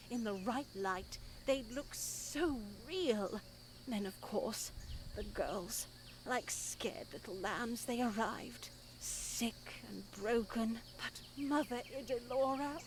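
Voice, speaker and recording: An actress playing a stern, pious nun who lectures formally and solemnly, with lingering pauses, in a scripted visual novel. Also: female voice, on the high side, background noise. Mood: solemn, unsettling.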